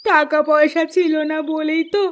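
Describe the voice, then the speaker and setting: A woman playing a despairing poor village wife in a Bengali cartoon, crying and lamenting in drawn-out phrases. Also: sits high, fairly even intonation, natural voice. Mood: wailing, despairing. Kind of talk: melodramatic Bengali cartoon dub.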